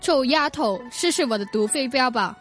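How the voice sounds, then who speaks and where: A spiteful junior henchwoman played by a woman in a martial arts radio play. The voice is fairly high and theatrical, eager to obey, over light music. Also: moderate pace, lightly acted. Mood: threatening. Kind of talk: radio drama acting.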